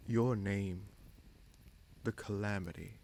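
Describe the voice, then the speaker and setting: An actor playing a wry, candid bastard prince in a fantasy audio roleplay, speaking in a measured, musing way with frequent pauses. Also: male voice, fairly low pitch, steady tone, a little mellow, slight background noise. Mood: reflective. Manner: measured, musing, pausing often mid-sentence. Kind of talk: fantasy audio roleplay, slow intimate monologue.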